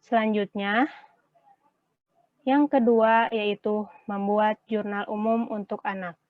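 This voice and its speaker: A woman's voice: medium pitch, steady tone, slow pace, no echo. Young woman presenting, thin phone-like sound. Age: young adult. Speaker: student presenter. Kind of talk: prepared class presentation.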